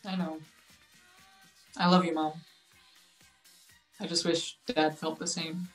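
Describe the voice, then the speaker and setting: A woman's voice: deep voice, level tone, takes it slowly, faint music behind. A young woman playing a dejected, wry grown-up son in a play reading over a video call. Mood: dejected, resigned. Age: young adult. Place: room at home, indoors.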